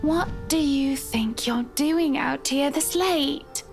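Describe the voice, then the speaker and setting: A woman playing an eerily calm, sweetly sinister lady in a horror game, cooing theatrically. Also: sits high, slow, faint music behind. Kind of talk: dramatic horror game voice acting.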